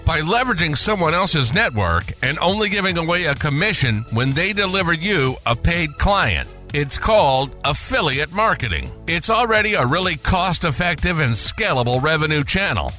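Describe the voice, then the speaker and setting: A man's voice: a little high, sing-song, moderate pace, few pauses, sharp tone. A man reading scripted promotional narration as an ad announcer, with music behind him.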